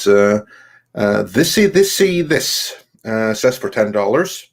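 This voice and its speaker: A man co-hosting a live stream, reading viewer comments aloud in a halting way and responding casually. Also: mid-range voice. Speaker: co-host reading viewer messages.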